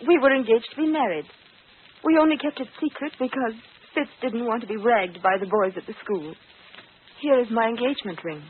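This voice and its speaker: A woman's voice: fairly high pitch, brisk, lightly acted. An actress playing a proud, resolute young woman in mourning, earnest and firm, in 1940s radio style. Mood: candid, hurt. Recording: tinny, noisy old radio recording.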